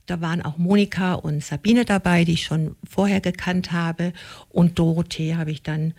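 A woman's voice: fairly low pitch, fairly even intonation, vocal fry, a little mellow. Woman in her sixties, hesitant and chatty. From Germany. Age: 60s. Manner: hesitant, many pauses and fillers. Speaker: group founder. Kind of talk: casual interview talk.

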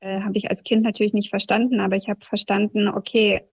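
A woman in her thirties, a journalist from Kazakhstan who grew up in southwest Germany, giving a reflective, measured personal account in an interview. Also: mid-range voice, level tone, slightly rough. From Kazakhstan, raised in southwest Germany.

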